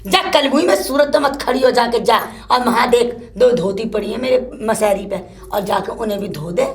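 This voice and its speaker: A woman playing a shrill, cursing old mother-in-law who keeps scolding her daughter-in-law. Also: normal speed. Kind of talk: comic one-woman sketch.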